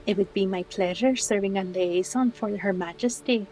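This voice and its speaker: A woman playing a calm, helpful tavern bartender in a fantasy audio roleplay, speaking politely and in a measured way. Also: mid-range voice, lightly acted, rough recording. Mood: helpful, courteous.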